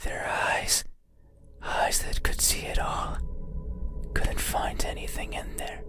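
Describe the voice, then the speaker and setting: Slow, eerie whispering monster. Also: male voice. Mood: hollow, wistful. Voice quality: breathy, unvoiced whisper. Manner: slow, halting, intimate phrasing. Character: eerie, needy bedside monster begging to be looked at. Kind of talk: ASMR-like horror game monologue. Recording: loud music under the voice. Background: music.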